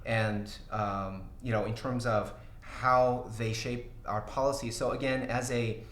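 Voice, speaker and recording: A man's voice: medium pitch, fairly even intonation, a bit bright. Middle-aged guy from brazil hosting a stream q&a, lots of uhs and ums, roomy office sound. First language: Portuguese. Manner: halting, many fillers and restarts, laughs easily. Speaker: company CEO hosting an AMA. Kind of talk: casual livestream Q&A. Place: small office studio with glass walls.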